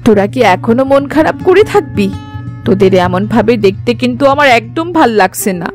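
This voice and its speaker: A woman voicing a gentle, consoling village mother in a cartoon moral story, soothing and earnest. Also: fairly high pitch, animated, normal speed, over music, natural voice.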